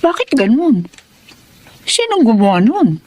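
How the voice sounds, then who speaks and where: A woman's voice: on the high side, very animated, a little slow, a little mellow. An actress playing a working Filipino mother, a kindly and easily alarmed laundrywoman, in a radio drama. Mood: puzzled.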